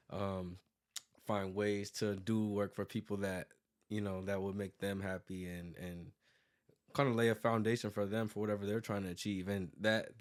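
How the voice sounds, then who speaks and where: An American man in his thirties talking casually as an interview guest, rambling with lots of "like" and self-corrections. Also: fairly low pitch. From United States, born in New York and raised in Virginia. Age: 30s. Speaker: interview guest, graphic designer and business owner.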